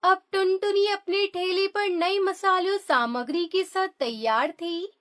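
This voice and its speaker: Female narrator for children's story, very high-pitched, unhurried scripted read. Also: fairly bright tone.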